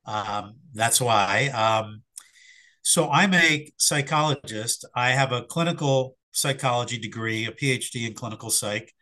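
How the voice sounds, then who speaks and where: Middle-aged male guest, slightly hoarse, bright sound, thoughtful and halting delivery. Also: medium pitch, rough recording. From Philadelphia area. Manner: reflective, hesitant with fillers and restarts. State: hoarse after covid. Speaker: sports psychologist guest. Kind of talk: casual interview answers.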